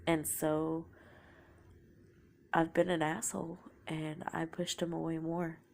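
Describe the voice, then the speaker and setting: A woman's voice: low voice, little pitch movement, takes it slowly, gravelly, a little mellow, slight background noise. A young woman with a sore throat from a cold, telling her own story in a casual, confessional way and often trailing off into a whisper. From Oklahoma, United States.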